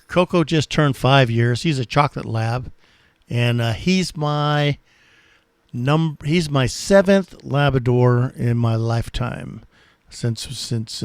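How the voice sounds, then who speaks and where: A man's voice: mid-range voice, dry recording. A man in his sixties with an American accent, chatting casually as an amateur radio operator. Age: 60s. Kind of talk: casual ham radio chat.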